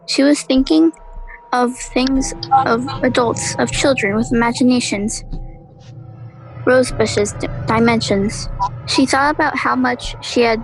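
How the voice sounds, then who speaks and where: A woman's voice: fairly high pitch, rough recording. A woman reading scripted narration for an audio drama.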